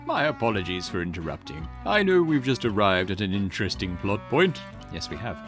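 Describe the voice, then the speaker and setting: Man reading game lines over music. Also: a little fast. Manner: switches between character lines with lively pitch swings. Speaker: gaming channel host. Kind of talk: reading game dialogue aloud in a let's play. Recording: game music playing underneath.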